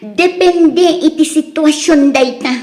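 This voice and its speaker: A woman's voice: high voice, slow pace. A woman playing a haughty, proud young wife in an Ilocano radio drama, with an Ilocano accent. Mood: haughty, defiant. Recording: roomy echo.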